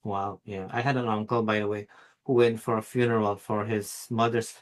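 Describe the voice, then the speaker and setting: A man from Guyana hosting an interview, relaxed and encouraging, with many "you know" and "kind of" fillers. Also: lowish voice, normal speed. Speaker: host. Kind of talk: casual interview talk.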